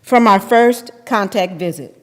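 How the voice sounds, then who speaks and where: A woman's voice: medium pitch, measured pace. A middle-aged woman giving a prepared personal speech from a lectern. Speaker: speaker giving personal testimony. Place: temple sanctuary at a lectern. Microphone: boom mic on a stand at lectern. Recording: echoey hall with long reverb.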